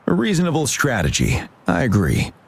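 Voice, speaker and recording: A man playing a calm, courteous military general in a video game, formal and reassuring. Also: mid-range voice, normal speed, gravelly, breathy edge, full character voice. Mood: formal, composed.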